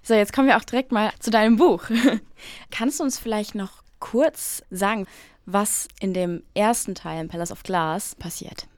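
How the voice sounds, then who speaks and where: Woman interviewer, friendly quick questions, dry close sound. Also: mid-range voice, no echo.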